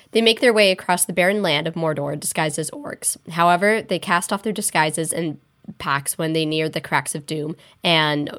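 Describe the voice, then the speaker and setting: A young woman co-hosting a casual book podcast, her voice slightly hoarse from a cold. She speaks in an easygoing way with dry little asides, at a lowish pitch. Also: a bit bright. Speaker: podcast co-host. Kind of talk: casual chat and book synopsis.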